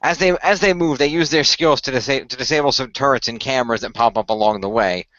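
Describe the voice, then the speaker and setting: Bright-voiced man running a role-play. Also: medium pitch, fast talker, dry recording, dull audio. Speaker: game master. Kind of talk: improvised storytelling and in-character talk for an audio role-play.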